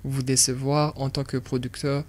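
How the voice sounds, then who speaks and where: A young man from Burundi, a film director, explaining his work in a radio interview in an animated way and repeating words while he thinks. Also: medium pitch, normal speed, slight background noise.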